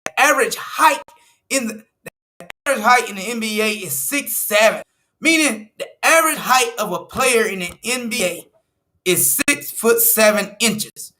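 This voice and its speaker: Emphatic man with a bright edge. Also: high voice, lively intonation, moderate pace. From United States. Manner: emphatic and repetitive, stresses numbers and restarts phrases. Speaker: political commentator. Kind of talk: commentary walking through an article.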